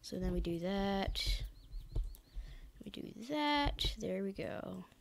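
A teenage girl with a croaky voice from being sick, casually narrating a game build tutorial with rambling, hesitant self-corrections. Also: mid-range voice, lively intonation, slow. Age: teens. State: croaky from illness.

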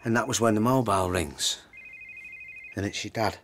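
An actor in a radio drama playing an apologetic, evasive estranged husband, pleading hesitantly and repeating himself. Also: male voice, on the low side, normal speed, a bit bright, a little hiss or hum, lightly acted. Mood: sheepish, defensive.

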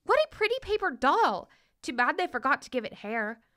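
A careless young girl from a storybook, played by a woman, high and bright. She is blunt and quick to exclaim. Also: very animated, full character voice. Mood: admiring. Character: careless, blunt little girl who finds a doll. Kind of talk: children's storybook character voice.

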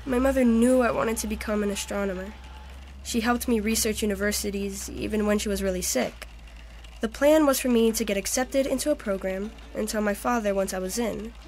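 A woman's voice: mid-range voice, a little fast, a little mellow, a little hiss or hum, close to an everyday voice. An actress playing a wistful, bookish young woman who loves astronomy, in a natural audio drama.

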